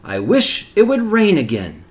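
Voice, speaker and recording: A man voicing an excitable, wishful young male donkey in a storybook, exclaiming with emphasis. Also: high-pitched, a little slow, voice pushed up, heavily acted. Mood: hopeful. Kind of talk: storybook read-aloud character.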